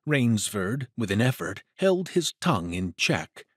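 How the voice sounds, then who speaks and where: A man's voice: fairly low pitch, big pitch swings, a little slow, slightly rough, no room sound, studio quality. A man narrating a suspense story in an audio drama, reading scripted prose dramatically.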